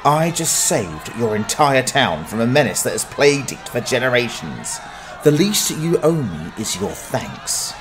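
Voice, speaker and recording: A man voicing a gruff, sardonic, down-at-heel adventurer in a fantasy audiobook, grumbling in exasperation. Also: medium pitch, sing-song, measured pace, music in the background, crowd noise, poor audio. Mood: indignant. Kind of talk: dramatized fantasy audiobook acting.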